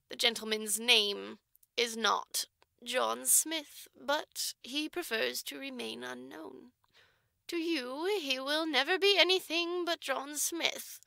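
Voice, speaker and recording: A woman voicing a pompous, high-pitched orphanage matron. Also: gravelly, pushed and bright, no echo, full character voice. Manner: pompous, formal, emphatic oratory. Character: stern, pompous orphanage matron, lecturing a girl.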